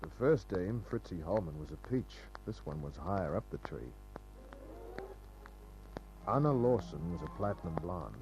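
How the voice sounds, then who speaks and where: A man's voice: low voice, moderate pace, pauses often, music in the background. A man playing a cynical, laconic private detective in a 1950s-style radio crime drama. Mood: deadpan, hardboiled. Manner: laconic, deadpan, wisecracking. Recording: dull, hissy old radio recording with hum.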